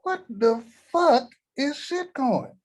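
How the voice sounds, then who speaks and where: An actor playing a crude, cranky old grandfather at a table read, talking gruff and vulgar. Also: very high-pitched, animated, takes it slowly, full character voice.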